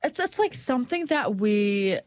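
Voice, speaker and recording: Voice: mid-range voice, sing-song, normal speed, dry recording, clean studio sound. A young adult hosting a casual interview podcast, upbeat, encouraging and chatty. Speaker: podcast host.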